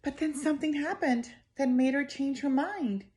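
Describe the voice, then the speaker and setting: A woman reading a children's story aloud in an expressive, emphatic way, speaking directly to her young listeners. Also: high-pitched, normal speed, a little hiss or hum.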